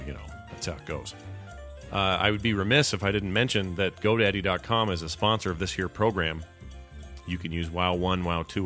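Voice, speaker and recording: A man's voice: sits low, fairly bright tone. A middle-aged man hosting a podcast with a cold, rambling and joking casually. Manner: rambling, jokey, self-interrupting. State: has a cold, coughing. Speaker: podcast host.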